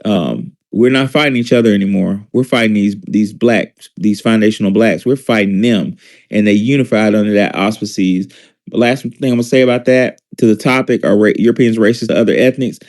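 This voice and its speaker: A man's voice: on the low side, fairly quick, a little mellow. A man from Alabama, hosting a casual commentary show with blunt, emphatic delivery and profane asides. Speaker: host.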